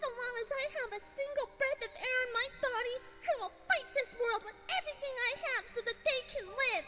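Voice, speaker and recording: A woman voicing a guilt-ridden, fiercely protective young cartoon pony, emotional and halting, with music behind her. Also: very high voice. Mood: fierce, defiant. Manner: emotional, halting, repeating words. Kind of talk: dramatic fan fiction acting. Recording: dramatic music playing behind. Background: loud music.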